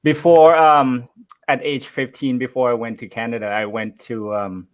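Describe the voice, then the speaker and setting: A young man from Thailand whose first language is Thai, speaking English as a guest on a live video call, softly and with hesitant pauses. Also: medium pitch, flat delivery. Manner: soft-spoken, hesitant. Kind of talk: casual live chat.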